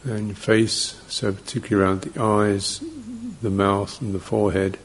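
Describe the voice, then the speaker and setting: A man teaching guided meditation, speaking in short, gentle fragments with long pauses between them. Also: on the low side, flat delivery, slightly rough, low-quality recording.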